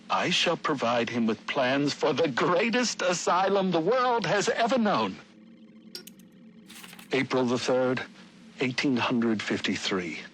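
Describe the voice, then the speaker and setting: A man's voice: mid-range voice, a bit bright, slight background noise, poor audio. An actor playing an idealistic, haunted nineteenth-century architect confiding in his journal with formal, earnest, old-fashioned diction in a game audio log. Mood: earnest, hopeful, then regretful, worried. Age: 30s. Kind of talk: dramatic audio journal narration.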